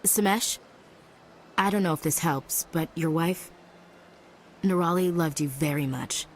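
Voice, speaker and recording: An actress playing a sympathetic, sincere woman soldier in a video game, gentle and tentative. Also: low-pitched, a little slow, low-quality recording. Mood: consoling. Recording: faint noise and ambience.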